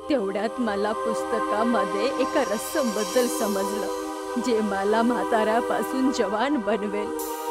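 A woman plays a regretful old grandmother from a horror cartoon. She confesses solemnly in a fairly high voice over clear music. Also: natural voice.

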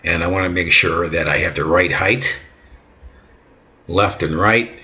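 A patient middle-aged man with a deep, low voice walks through a hands-on task step by step, unhurried and steady. His tone is bright and forceful despite the low pitch. The sound is a little dull, as from a camera mic in a home kitchen. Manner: calm, patient, repeats words, says "okay" often. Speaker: instructor demonstrating decal application. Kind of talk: step-by-step how-to narration. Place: home kitchen and workbench indoors.

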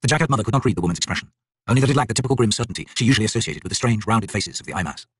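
A man reading a fantasy audiobook in a theatrical, solemn, expressive manner. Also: fairly low pitch, rapid-fire, husky, no echo, clean studio sound. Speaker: audiobook narrator, actor. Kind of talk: dramatic scripted fantasy audiobook narration.

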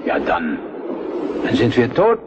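A man's voice: mid-range voice, wildly swooping pitch, takes it slowly. An actor playing an anxious, reproachful old Prussian king in a historical radio drama, speaking with weighty fatalism. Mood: gloomy. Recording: muffled, very noisy radio recording.

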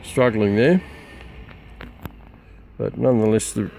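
An older Australian man casually demonstrating radios outdoors in a garden, relaxed and rambling with many fillers. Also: lowish voice, slow pace, creaky, loud music behind the voice. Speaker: radio hobbyist presenting receiver comparison. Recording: noisy outdoor recording.

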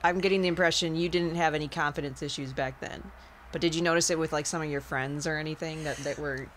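A woman's voice: deep voice, fairly even intonation, fast talker, a little hiss or hum. Woman co-host asking friendly questions. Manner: friendly questioning, brief backchannels. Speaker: podcast co-host. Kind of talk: casual chat.

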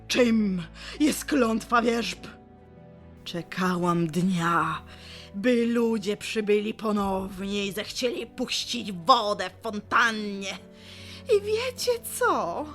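A woman's voice: sits high, fairly bright tone, heavily acted. A woman playing a grieving, vengeful willow tree in an audio drama, with a tearful, theatrical delivery. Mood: gloating, tearful. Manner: theatrical, tearful, drawn-out lament. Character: weeping willow tree, grieving, vengeful and bitter female spirit.

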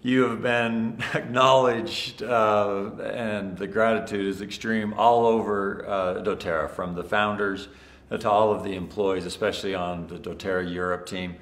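A middle-aged man, a company executive, speaks upbeat and congratulatory to sales leaders while standing before a camera in a bright office. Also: fairly low pitch, steady tone, measured pace. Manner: upbeat and congratulatory, some fillers. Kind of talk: scripted-free video address to sales leaders. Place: large bright office with tall windows, indoors. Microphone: standing before a fixed camera, two-shot. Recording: echoey room.

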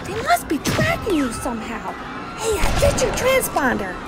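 A woman playing a tiny, frantic, excitable squeaky sidekick creature in a sci-fi audio drama. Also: moderate pace, loud music behind the voice, full character voice. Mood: alarmed. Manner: urgent, excitable.

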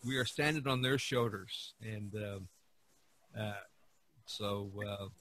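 A man with a fairly low voice hosts a casual podcast discussion. He rambles through his points and leans on them with emphasis. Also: slow pace, a bit bright, slight background noise. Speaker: podcast host.

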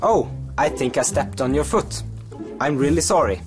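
A young man presenting a lesson in a classroom, in a deadpan, explanatory way. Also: a little high, normal speed, over music. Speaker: student teaching a class. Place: classroom indoors.

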